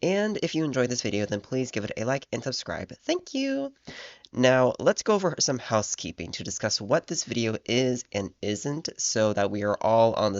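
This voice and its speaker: A man's voice: mid-range voice, no room sound, dull audio. A man with a congested voice from a cold, reading a scripted book review in a chatty way with asides. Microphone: voice-over over gameplay footage.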